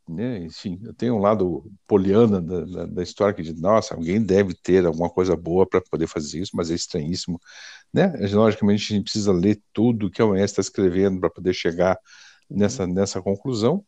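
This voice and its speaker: A man of about sixty with a Brazilian accent, reflecting casually and personally, haltingly searching for his words. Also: low-pitched, dark tone, no echo. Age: around 60. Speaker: panelist.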